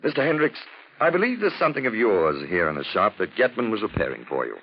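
An actor playing an urbane, erudite detective with florid, gently ironic speech, in 1940s radio drama style. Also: male voice, fairly low pitch, sing-song, quick pace. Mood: smooth, teasing. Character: suave, erudite gentleman detective, dryly mocking and theatrical. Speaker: detective and narrator. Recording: muffled, noisy 1940s radio recording.

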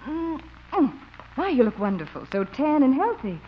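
A woman's voice: high-pitched, big pitch swings, moderate pace. A woman playing a polite, effusive visiting relative, courteous and slightly hesitant, in 1950s radio acting style. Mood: gracious, apologetic. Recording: dull, faintly noisy 1950s radio recording.

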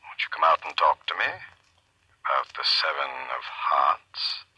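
An actor in a 1940s radio mystery playing a sinister dead man on the telephone, husky, slow and deliberate in his menace. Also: steady tone, sharp tone, no echo, lo-fi sound, full character voice. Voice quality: husky, deep.

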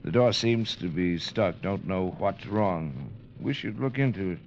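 An actor playing a weary, worried, aging ship's captain in an old radio drama, speaking haltingly. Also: male voice, deep voice, lively intonation, darkish tone. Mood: weary, resigned. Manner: hesitant, halting. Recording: muffled vintage radio recording, faint noise.

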